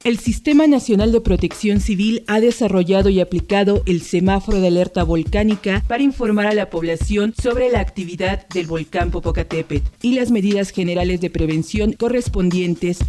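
Formal Mexican announcer over clear music. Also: medium pitch, dark tone. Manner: formal, measured reading. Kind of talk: scripted public service announcement.

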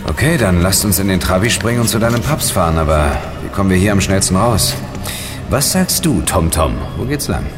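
A young detective leader in a radio play, with a deep voice. He sounds practical and wry, heard in a long reverb with music running underneath. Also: big pitch swings, a little fast. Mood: brisk, teasing. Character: level-headed young male sleuth, quick to lead. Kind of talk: German audio drama acting.

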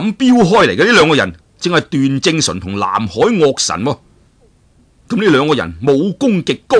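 Animated male storyteller, bright and lively. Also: medium pitch. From Hong Kong. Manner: animated, dramatic, chuckling asides. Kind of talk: traditional Cantonese storytelling of a martial arts novel.

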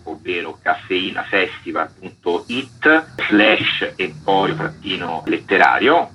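A man's voice: deep voice, sharp tone, a little hiss or hum, poor audio. A man speaking as a festival's artistic director, explaining things as a guest in a radio interview.

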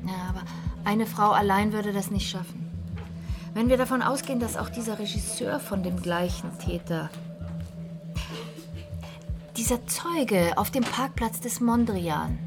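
Eine Schauspielerin spielt in einem Hörspiel eine sachliche, trocken-sarkastische Kriminalermittlerin. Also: female voice, medium pitch, measured pace, pauses often, over music, close to an everyday voice. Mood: businesslike, pondering. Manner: dry, matter-of-fact. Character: sardonic, businesslike female police investigator. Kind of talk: radio drama acting.

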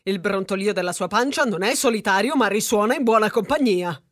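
A woman's voice: medium pitch, animated, brisk, natural voice. A woman acting as a pompous, armed castaway in a comic radio play, theatrical and mock-formal. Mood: haughty. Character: pompous, armed male castaway, the big one.

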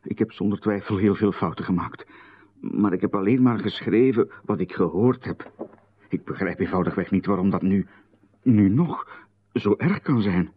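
A man's voice: on the low side, normal speed, close to an everyday voice. A man playing a nervous writer who is being questioned in a radio play, hesitant and bewildered. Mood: defensive. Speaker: writer Babbert, the visited host. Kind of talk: 1990s radio play acting. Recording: narrow phone-like radio band.

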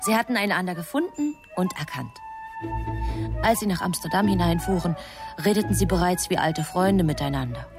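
A woman narrating a literary radio play in a measured, composed storytelling manner. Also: fairly low pitch, animated, over music, close to an everyday voice.

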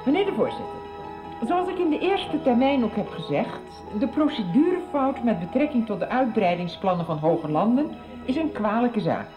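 An actress in a radio soap playing a conservative councillor who argues formally and indignantly. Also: female voice, on the high side, big pitch swings, normal speed, over music. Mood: firm. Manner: formal, argumentative debating.

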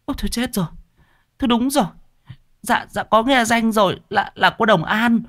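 A man's voice: very high voice, animated, moderate pace, pushed and bright, voice pushed up, exaggerated voice. A man voicing a hysterical village wife in an audio story, with a panicky, stammering, wailing manner. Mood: flustered, apologetic.

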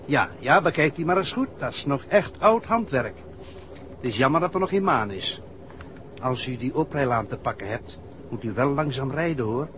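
A man's voice: mid-range voice, lively intonation, normal speed, fairly bright tone, lightly acted. An actor playing a helpful, chatty country clergyman who explains things courteously and unhurriedly in a 1960s Dutch radio drama. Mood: genial, advising. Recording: dull 1960s radio recording, faint noise.

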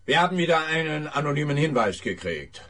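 A man's voice: fairly high pitch, sing-song, fairly quick, a bit bright, soft background music. A man playing a pompous, dim-witted, grumbling police officer in a radio comedy, blustering and self-important.

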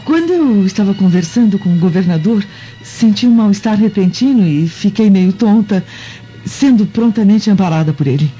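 A Brazilian woman playing a refined, uneasy Roman wife and mother in a radio drama, soft, formal and hesitant. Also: medium pitch, animated, normal speed, lightly acted. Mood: evasive. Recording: dull lo-fi audio, faint music.

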